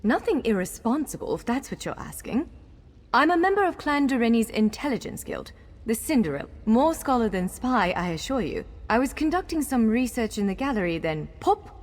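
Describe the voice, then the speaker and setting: A woman's voice: on the high side, big pitch swings, normal speed. A woman playing a courteous, wry elf scholar guiding someone in a video game, articulate and formal yet playful. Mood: wry, informative. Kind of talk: video game character dialogue. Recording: long hall reverb over music.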